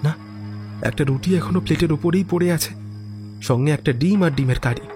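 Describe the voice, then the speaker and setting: A man narrating a first-person horror story in an expressive, theatrical way, with musing asides and exclamations. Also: fairly high pitch, moderate pace. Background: background music.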